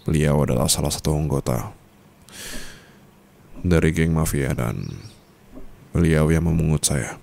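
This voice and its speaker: An actor with an Indonesian accent playing a calm, teasing and tender mafia boss in an intimate ASMR roleplay, speaking softly and slowly with pauses. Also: male voice, slight background noise, heavily acted. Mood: confiding, somber. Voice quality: very deep, creaky voice.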